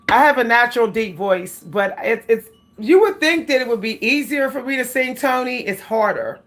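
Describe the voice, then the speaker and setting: A woman chats loosely with her livestream audience and calls them "y'all." Her voice sits a little high. She talks in a slow, drawn-out way and keeps drifting into snatches of song. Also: expressive, a bit bright. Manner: chatty, laughs often, breaks into song, addresses viewers as y'all. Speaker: livestream host. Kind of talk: casual livestream chat with singing.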